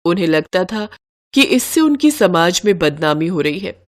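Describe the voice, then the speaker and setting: A woman's voice: on the low side, sing-song, moderate pace. A woman storyteller reading a scripted devotional story.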